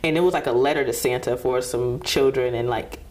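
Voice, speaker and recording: A young woman talking as a guest on a casual podcast, lively and chatty, laughing often and hyping up her host. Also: rumbling low, a little fast. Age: young adult.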